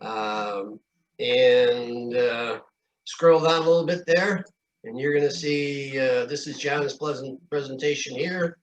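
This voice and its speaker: An American man informally walking through a shared screen on a video call, halting and folksy as he goes. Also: medium pitch, fairly even intonation. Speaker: club meeting co-presenter. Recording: dull video-call audio.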